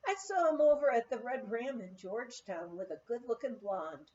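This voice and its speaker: A woman's voice: a little high, expressive, normal speed, darkish tone, lo-fi sound, natural voice. A woman reading the part of a nonchalant, gossiping male ski instructor in a memoir.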